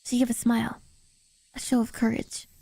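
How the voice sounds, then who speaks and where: A woman's voice: a little high, completely flat delivery, moderate pace, vocal fry, mellow, husky, dry recording, poor audio. A woman reading a story aloud as a streamer, in dramatic first-person narration.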